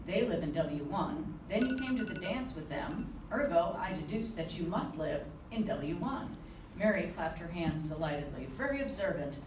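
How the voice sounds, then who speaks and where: A woman author reading aloud from her novel to a live audience, giving the dialogue a lively touch. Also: lowish voice. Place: conference room. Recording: roomy, distant with background noise.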